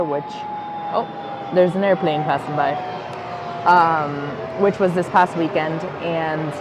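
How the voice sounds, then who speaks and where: Chatty woman podcast host, lots of fillers. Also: sits low. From United States. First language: Spanish. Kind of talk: casual wine podcast chat. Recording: faint background noise, passing airplane.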